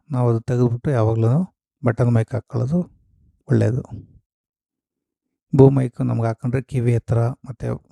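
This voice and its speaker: Man with a dark, mellow, creaky voice. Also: mid-range voice, dry recording, studio quality. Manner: explanatory, step-by-step, mixing in English terms. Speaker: host of a gear unboxing and review video. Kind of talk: casual product walkthrough.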